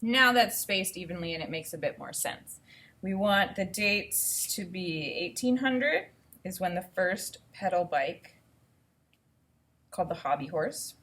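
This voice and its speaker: A woman's voice: on the low side, expressive, unhurried. Woman teacher reading aloud and explaining. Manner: explanatory, thinking out loud. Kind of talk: instructional read-aloud with think-aloud commentary.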